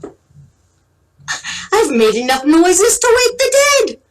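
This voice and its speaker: An exasperated, shrill complainer, played by a woman, cries out in a stage drama. The voice is extremely high and swoops dramatically as the words are drawn out. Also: sing-song, extremely slow, heavily acted. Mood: exasperated shouting.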